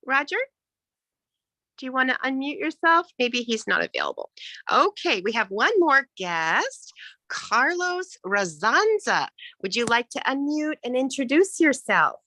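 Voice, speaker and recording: A woman hosting an online club meeting, effusive and quick to repeat words of praise. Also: sits high, huge pitch swings, sharp tone, dry recording. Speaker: meeting host, club president. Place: video call.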